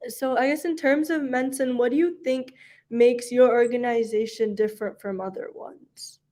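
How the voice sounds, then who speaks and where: A woman's voice: fairly high pitch, fairly even intonation, normal speed, dark tone. A woman hosting an online talk, interviewing in an earnest, affirming and conversational way. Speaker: host of an online talk on menstrual health.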